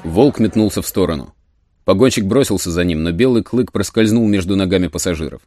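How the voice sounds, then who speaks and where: A man's voice: low voice, a little fast, dark tone, a little hiss or hum. A man narrating a story as a scripted dramatic audiobook. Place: studio.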